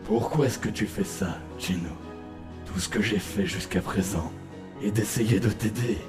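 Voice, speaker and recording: A man's voice: lowish voice, a little slow, close to an everyday voice. A man playing an ominous void spirit in a dramatic comic dub, cold, coaxing and condescending in his menace. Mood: cold, menacing. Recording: echoing voice under clear music.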